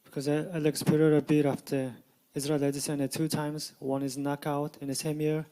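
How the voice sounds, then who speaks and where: A man's voice: fairly high pitch, flat delivery, a little fast, mellow. A man asking interview questions in non-native English, hesitant and full of "uh" fillers.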